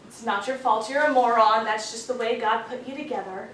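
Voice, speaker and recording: A woman's voice: a little high, a little fast, fairly bright tone, lightly acted. An actress playing a domineering, sarcastic, short-tempered female scriptwriter who snaps and bosses. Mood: bossy, snapping. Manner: sarcastic, bossy, snapping. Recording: very echoey, distant stage recording with hiss.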